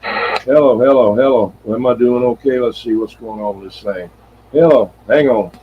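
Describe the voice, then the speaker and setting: A man's voice: mid-range voice, normal speed, a little hiss or hum. A man in casual, rambling, folksy conversation, hosting a CB radio live stream. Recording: dull, lo-fi CB radio sound.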